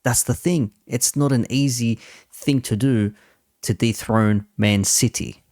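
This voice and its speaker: A man's voice: medium pitch, a little slow, a little mellow, no room sound, clean studio sound. A man giving casual, opinionated commentary as a host, blunt and sweary in a conversational way. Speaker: host reacting to a football debate stream.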